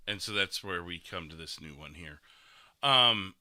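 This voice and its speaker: A man's voice: deep voice, animated, a little slow, noisy background. A man hosting a casual discussion show, talking through recorded gameplay in an informal way with some swearing. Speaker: podcast host.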